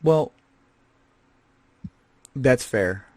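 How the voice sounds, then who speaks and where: A man's voice: mid-range voice, animated, extremely slow, a little mellow, no room sound. A man playing a rambling, casual male scavenger who hosts a comedic in-character podcast. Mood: chatty. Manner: rambling and casual, lots of fillers. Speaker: host.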